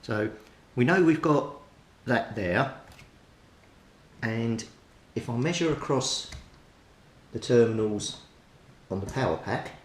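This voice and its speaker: A man's voice: animated, takes it slowly, long pauses. A man giving an unscripted, methodical repair walkthrough as a hobbyist, thinking aloud as he works. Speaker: hobbyist radio repairer presenting his progress. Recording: roomy echo, clear rumbling background noise.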